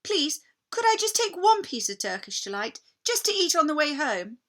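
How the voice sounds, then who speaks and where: A woman's voice: sits high, very animated, moderate pace, fairly bright tone, pitched above the performer's own voice. A woman voicing a sulky, greedy young boy with a snappish, know-it-all manner in a storybook. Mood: pleading.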